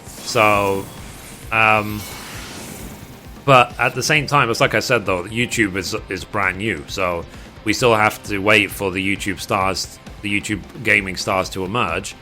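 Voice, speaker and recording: A man's voice: on the low side, steady tone, measured pace, sharp tone. A man with a British accent chatting casually and at rambling length with his live stream viewers as a gaming streamer. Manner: rambling, reading chat aloud and replying. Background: music.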